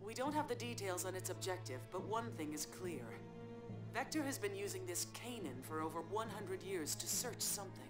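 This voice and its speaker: An actress playing a confident, playful female informant in a game cutscene, brisk, assured and teasing. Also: medium pitch, lively intonation, music in the background, close to an everyday voice. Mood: businesslike. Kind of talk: video game cutscene dialogue.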